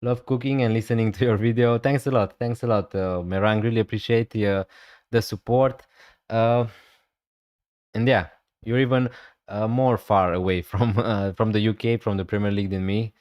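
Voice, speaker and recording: Relaxed man streaming live. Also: lowish voice, normal speed, no echo, studio quality. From Romania. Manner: relaxed, hesitant, many fillers. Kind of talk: casual live stream chat.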